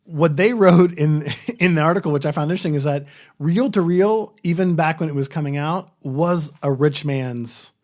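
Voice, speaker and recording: Male podcast co-host, somewhat higher register, relaxed conversational style of speaking. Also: moderate pace. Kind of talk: casual chat.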